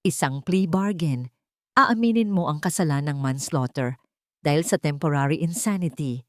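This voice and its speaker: Woman as a calculating male lawyer, formal, pitiless, measured, audio storybook drama, dry sound. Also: low-pitched, dry recording, natural voice. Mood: cold, threatening. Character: cold, calculating male lawyer of a rich family.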